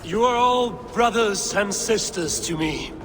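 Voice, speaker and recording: An actor playing a boastful warrior god in a video game, speaking formally and declaiming like a courteous guest. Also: male voice, high-pitched, slow. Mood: bold. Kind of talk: video game character acting.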